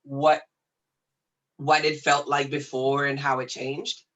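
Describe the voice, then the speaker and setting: A man hosting a podcast interview, offering soft encouraging murmurs and gentle questions. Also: medium pitch, level tone, slow, highs cut off. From Israel. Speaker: podcast host. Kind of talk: casual interview.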